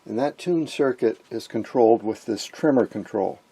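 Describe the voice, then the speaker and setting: A man giving an informal technical demonstration of vintage radio gear, explaining and pausing to point things out. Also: medium pitch, gravelly, mellow, slightly rough. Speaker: host, electronics hobbyist.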